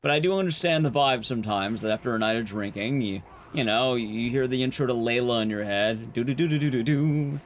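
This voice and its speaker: A young man streaming to his chat, talking casually, rambling and chatty with sudden jumps in pitch. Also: fairly quick, hardly any pauses, a little hiss or hum.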